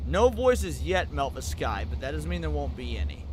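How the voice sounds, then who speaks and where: A man giving casual live reaction commentary as a streamer, animated and full of profane slang. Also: a little high, normal speed, a little hiss or hum. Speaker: streamer reacting to horror video.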